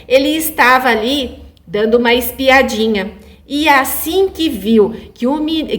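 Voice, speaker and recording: A woman with a Brazilian Portuguese accent telling a fairy tale to children in an informal, conversational way, often checking in with her listeners. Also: fairly high pitch. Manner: conversational, addresses listeners with frequent check-in tags. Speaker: children's storyteller.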